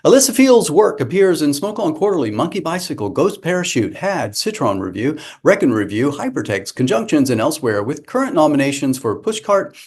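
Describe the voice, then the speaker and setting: A man's voice: fairly high pitch, sing-song, brisk, hardly any pauses, a little mellow, husky. A man hosting an online open mic, introducing readers over a video call with lots of fillers. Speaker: reading series host.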